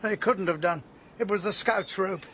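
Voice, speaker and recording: High-pitched, bitter village gardener. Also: male voice, a bit bright. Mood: indignant. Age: middle-aged. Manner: wounded, bitter, deliberate. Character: aggrieved, vengeful middle-aged village gardener and scoutmaster. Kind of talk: radio drama acting. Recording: dull sound, faint background noise.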